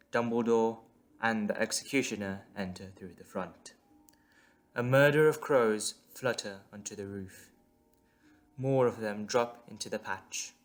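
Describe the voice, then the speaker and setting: A man reading scripted dramatic narration as the narrator of an audio drama. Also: on the low side. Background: music.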